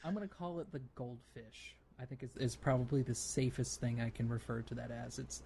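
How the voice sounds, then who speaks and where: A man hosting a casual gaming podcast, talking in a halting way as he thinks aloud. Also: mid-range voice, creaky, warm tone. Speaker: podcast host.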